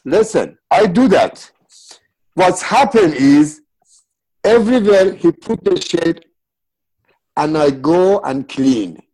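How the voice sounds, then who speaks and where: A man with a Persian accent speaks as an interview guest, his first language Farsi. He tells his stories earnestly in broken English at an unhurried pace, his voice pitched a little high for a man. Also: animated, darkish tone, poor audio. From Iran. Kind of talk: casual interview.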